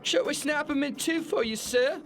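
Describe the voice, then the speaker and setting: A man's voice: very high voice, moderate pace, soft background music. A man playing a servile, menacing henchman thug in an audio drama, eager to please his boss. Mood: eager menace.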